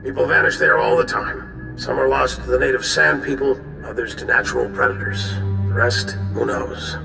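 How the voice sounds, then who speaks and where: An actor playing a grave Republic general in a video game, gravelly and measured in his commands. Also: mid-range voice, steady tone. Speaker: military commander giving mission briefing. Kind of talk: video game cutscene acting. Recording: roomy echo, loud music behind.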